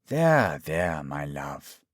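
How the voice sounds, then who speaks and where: Man voicing a smugly calm villain doctor, lively inflection. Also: lowish voice, unhurried, slightly rough, no room sound, studio quality, heavily acted. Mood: calm menace. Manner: coaxing, mock-tender, threatening.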